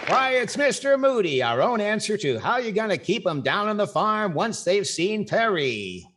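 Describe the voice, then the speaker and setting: A man's voice: high voice, big pitch swings, normal speed, a bit bright, full character voice. An older man with an American accent acting a wry, wordy, playfully pompous host in 1940s radio comedy style.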